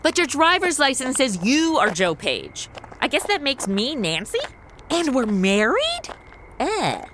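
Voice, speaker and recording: A woman's voice: sits high, wildly swooping pitch, measured pace, a bit bright, slight background noise, exaggerated voice. An actress playing a sassy, exasperated modern young woman with a blunt, snarky manner in a comedy audio drama. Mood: sarcastic, uneasy.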